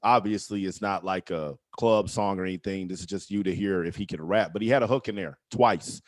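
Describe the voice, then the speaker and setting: A deep-voiced man from Indianapolis, a guest reviewer giving laid-back, frank feedback on a track with some swearing. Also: a little fast, no echo, studio quality.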